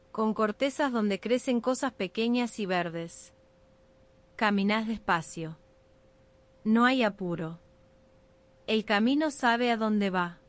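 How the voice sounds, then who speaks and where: Slow-paced woman guiding a sleep meditation. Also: a little high. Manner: soothing, unhurried, hypnotic. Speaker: guided meditation narrator. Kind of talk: ASMR sleep meditation, scripted narration.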